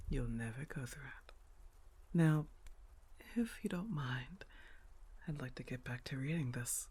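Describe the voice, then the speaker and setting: A woman playing a dominant, indulgent, coolly amused girlfriend in an intimate, partly whispered ASMR roleplay, coaxing and teasing with a husky, breathy voice. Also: rumbling low, full character voice. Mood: amused, mock-soothing. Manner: slow, coaxing, teasing questions with soft chuckles.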